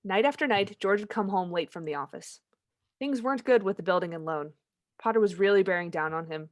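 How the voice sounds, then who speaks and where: A woman's voice: mid-range voice, a little fast, natural voice. Woman as knowing, conversational radio-play storyteller. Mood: matter-of-fact.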